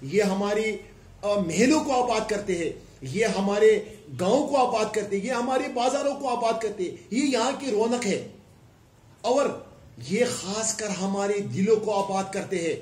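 A high-pitched man in his forties from Pakistan speaks firmly into a bank of press microphones, building his points through repeated listed phrases. The room around him rings with noticeable echo. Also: measured pace, darkish tone. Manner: emphatic, gesturing with raised hands, speaking in listed repetitions. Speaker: former councillor giving a press conference. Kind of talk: press conference statement. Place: indoor room before a plain wall. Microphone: cluster of press microphones on a table. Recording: echoey room.

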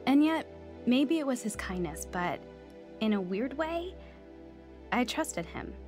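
An earnest young woman, a new convert, speaks emotionally in a fairly high voice. It is a radio drama with music behind. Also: big pitch swings, slow pace, darkish tone. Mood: reflective. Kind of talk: radio drama acting and narration.

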